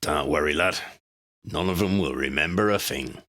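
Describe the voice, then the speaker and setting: A man voicing a gruff, shady middle-aged wizard dealer who talks in rough slang. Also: very deep voice, normal speed, pushed and bright. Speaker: voice actor.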